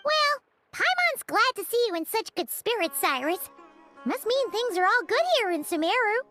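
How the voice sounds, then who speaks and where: Tiny boastful game fairy: childlike, sky-high, sing-song animated, theatrical and cheerful. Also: female voice, moderate pace, faint music behind, full character voice. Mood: friendly, chirpy. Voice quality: squeaky, childlike. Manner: perky, theatrical, self-important. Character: small childlike fairy companion, cheerful, boastful, refers to herself in third person. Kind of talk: animated video game character acting.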